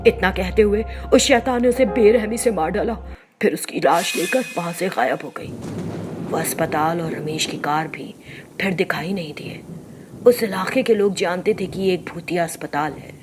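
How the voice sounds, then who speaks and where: A woman's voice: on the low side, normal speed, music in the background. A woman narrator reading a scripted horror story.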